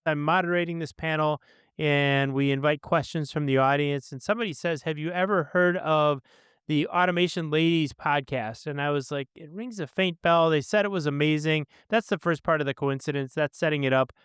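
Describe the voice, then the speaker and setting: Man, podcast host, effusive, very smooth resonant voice, higher-pitched, conversational interview style. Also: fairly quick, fairly bright tone, no echo, studio quality. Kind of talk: interview introduction with personal anecdote.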